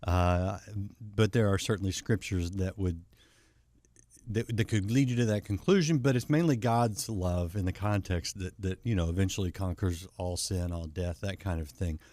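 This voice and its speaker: A man's voice: sits low, normal speed, a little mellow, no room sound. A man hosting a talk show, asking thoughtful questions and often restarting his phrases. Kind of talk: interview conversation.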